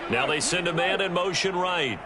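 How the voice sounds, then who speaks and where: A man calling play-by-play in a video game football broadcast, with measured, steady delivery. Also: high-pitched. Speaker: play-by-play commentator. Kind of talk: video game sports commentary. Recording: game audio with loud background noise.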